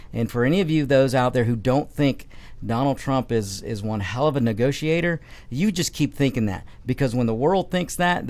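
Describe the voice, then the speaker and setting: A man's voice: mid-range voice, darkish tone. A middle-aged man hosting a talk radio show from a studio, giving an emphatic opinion monologue that is full of restarts and repeated words.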